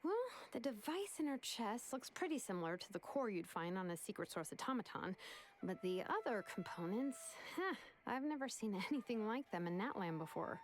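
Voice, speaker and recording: A woman's voice: mid-range voice, big pitch swings, moderate pace, slight background noise, lightly acted, rough recording. A woman playing a sardonic, overworked young inventor in a video game, wry and thinking aloud. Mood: thoughtful. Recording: faint game music under voice.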